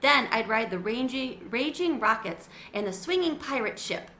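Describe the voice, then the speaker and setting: A woman's voice: on the high side, expressive, moderate pace, pushed and bright, reverberant, slight background noise. A woman voicing an older boy who grows exasperated with his little brother. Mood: dreamy.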